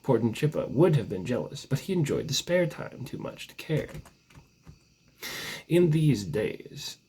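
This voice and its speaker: A man with a husky voice reads a storybook legend aloud as narrator, stretching words with theatrical emphasis. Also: mid-range voice, very animated, measured pace, warm tone.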